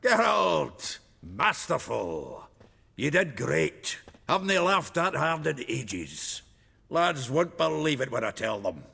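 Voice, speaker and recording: An actor playing a jovial, wily middle-aged dwarf in a video game, gravelly and theatrical, with a wheedling manner. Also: male voice, on the high side, sing-song, slow, bright, forceful tone, in a large hall, heavily acted. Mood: boisterous, delighted. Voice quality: gravelly, creaky.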